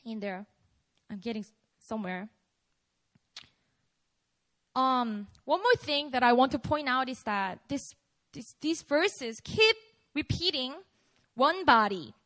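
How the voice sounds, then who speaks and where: Korean lady pastor preaching loose and chatty, high voice, lots of you know and like. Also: expressive, takes it slowly, long pauses, a bit bright. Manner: casual, conversational preaching with many fillers. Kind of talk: sermon at a prayer meeting.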